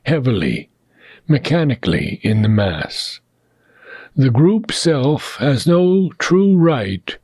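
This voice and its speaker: A man reading philosophical prose aloud in a solemn, measured way, with deliberate phrasing and frequent pauses. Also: mid-range voice, darkish tone, slightly rough, no room sound. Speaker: reader of a philosophical book.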